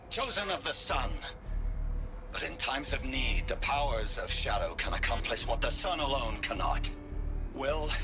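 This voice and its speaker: An actor playing a proud, pious male religious zealot in a video game, with a theatrical, solemn and reverent delivery. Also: mid-range voice. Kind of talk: scripted video game acting. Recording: music clearly behind.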